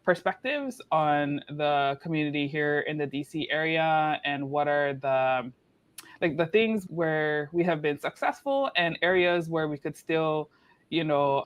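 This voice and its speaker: A man hosts a podcast panel, chatty and upbeat as he brings his guests on. His voice sits a little high. He mixes Hawaiian words into his English. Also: clear, resonant tone. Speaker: podcast host.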